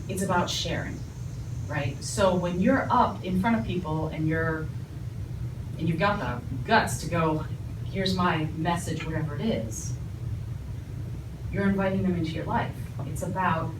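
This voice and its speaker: A woman's voice: fairly low pitch, far from the mic. A woman from the former Soviet Union, a public speaking trainer telling stories to a group and acting out other people's lines. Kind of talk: storytelling talk to a group. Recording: echoey room heard from afar, noisy.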